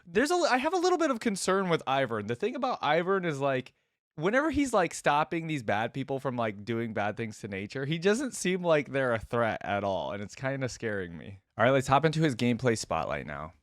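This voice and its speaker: A man's voice: medium pitch, very animated, brisk, no room sound, studio quality. A man giving casual, rambling reaction commentary, peppered with "like" and "you know". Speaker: YouTube reaction host. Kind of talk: casual reaction commentary and read-aloud story.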